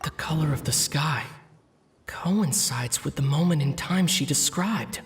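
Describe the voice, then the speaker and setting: A man playing a composed young male traveler in a video game, speaking in a measured, pensive way. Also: a little high, natural voice. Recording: music behind the voice.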